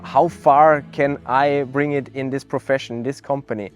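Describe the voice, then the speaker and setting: An earnest young man tells his own story in a promotional interview, thoughtful and reflective. His voice sits at an ordinary middle pitch, and he stops often to find his words, with fillers and restarts along the way. Soft music plays quietly underneath. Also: fairly even intonation, normal speed. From Austria. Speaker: network marketing ambassador.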